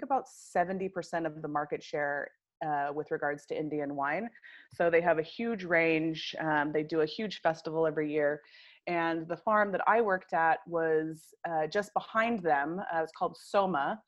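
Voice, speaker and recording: American woman in her 30s, low voice, lots of ums, kinda dull lo-fi sound. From United States. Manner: chatty, many ums and uhs. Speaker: wine industry guest. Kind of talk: casual interview conversation.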